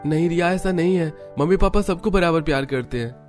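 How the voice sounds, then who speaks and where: A man's voice: on the high side, fairly quick, darkish tone, pitched above the performer's own voice, lightly acted. A man voicing a scheming young husband and younger son in a cartoon story, with music behind him. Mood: pleading. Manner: pleading with wife, gloating with family. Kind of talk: Hindi cartoon story dub.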